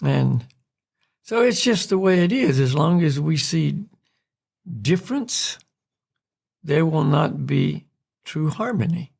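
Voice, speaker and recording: An American man from North Carolina, a podcast host calmly explaining spiritual ideas in a reflective, unhurried way. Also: on the high side, creaky, breathy edge. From North Carolina, USA. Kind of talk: spiritual discussion.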